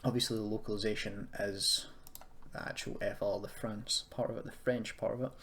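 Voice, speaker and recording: Low-voiced man rambling through a tutorial. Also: fairly even intonation, brisk, a bit bright. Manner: rambling, thinking aloud, self-deprecating. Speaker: tutorial host. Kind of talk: casual screen-recorded software tutorial. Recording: clearly noisy, keyboard clicks and typing. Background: background noise, computer keyboard and mouse clicks.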